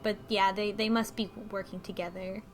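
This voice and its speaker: A woman's voice: mid-range voice, level tone, moderate pace, faint music behind. A woman in a casual group chat, speculating and repeating words as she reasons things through aloud. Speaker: podcast panelist discussing a visual novel.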